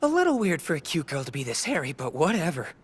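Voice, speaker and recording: A man's voice: a little high, huge pitch swings, fast, breathy edge, heavily acted. A man playing a wisecracking teenage boy in an anime dub, casual and dramatic, given to muttered asides. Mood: dazed, smitten. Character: excitable, wisecracking teenage boy lost in a fantasy world. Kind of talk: English anime dub acting. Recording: music behind the voice.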